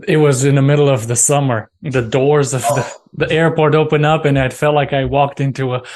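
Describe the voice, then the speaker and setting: A man's voice: medium pitch, steady tone, brisk, darkish tone. A man from Romania, a guest in an interview, talking earnestly and often restarting his phrases. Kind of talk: casual interview talk.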